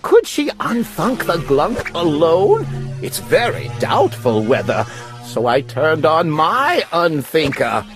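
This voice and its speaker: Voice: mid-range voice, huge pitch swings, slow pace, music in the background. A storyteller giving a dramatic narration of a rhyming tale for children.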